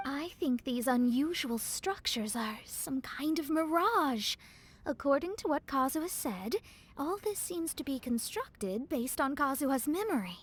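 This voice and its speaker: A young female adventurer in a fantasy game. Her voice is high and composed, setting out ideas in a careful, thoughtful way. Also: lively intonation, unhurried. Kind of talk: scripted game cutscene dialogue.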